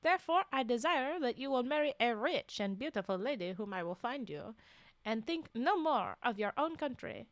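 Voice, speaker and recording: A woman's voice: a little high, expressive, normal speed, no echo, lightly acted. A woman voicing a gracious king from an old adventure tale in formal, stately speech. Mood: gracious, commanding.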